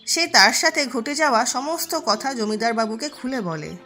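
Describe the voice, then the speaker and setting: High-voiced woman narrating a story. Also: expressive, moderate pace, bright, forceful tone, soft background music.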